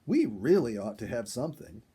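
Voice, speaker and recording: Guy doing a folksy nature-loving husband in a storybook, good humored, swingy husky voice. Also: mid-range voice, sing-song, normal speed, warm tone, heavily acted. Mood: wry, curious. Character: good-humored outdoorsman husband, fond of wild animals.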